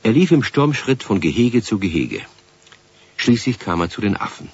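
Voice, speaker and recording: A man narrating a children's radio play as its storyteller, with a husky voice and scripted delivery. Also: fairly low pitch, big pitch swings, moderate pace, dry recording, heavily acted. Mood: storytelling. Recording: dull 1970s radio play recording.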